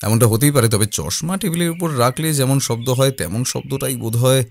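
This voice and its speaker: A man playing a calm, observant detective in an audio drama, speaking deliberately with thoughtful pauses. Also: mid-range voice, slight background noise, lightly acted. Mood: calm, pondering. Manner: deliberate, pausing, hesitating mid-thought.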